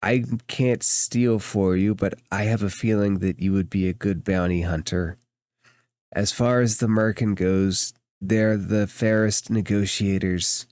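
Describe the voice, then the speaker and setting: Stern insectoid lawwoman for a fantasy game, male performer, low register, unhurried, plain-spoken. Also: a little mellow, no room sound, clean studio sound. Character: stern female praying mantis deputy sheriff.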